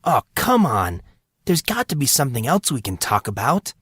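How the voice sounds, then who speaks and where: A man's voice: medium pitch, sing-song, normal speed, fairly bright tone, breathy edge, dry recording, clean studio sound, pitched above the performer's own voice. A man voicing a defensive, exasperated fourteen-year-old boy with an earnest, evasive manner in an audiobook. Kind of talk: audiobook character voice.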